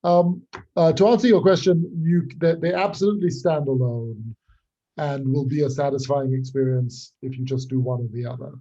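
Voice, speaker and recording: Very mellow, husky man explaining his work. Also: mid-range voice, normal speed, muffled recording. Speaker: writer and creator being interviewed. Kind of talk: conversational interview explanation.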